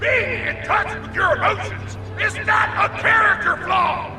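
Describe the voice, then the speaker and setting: An actor voicing a loud, emotional, devoted grandson in a video game, bellowing each phrase with gravelly intensity. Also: male voice, extremely high pitch, unhurried, over music. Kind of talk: over-the-top video game character acting. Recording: distant shout with long echoing reverb.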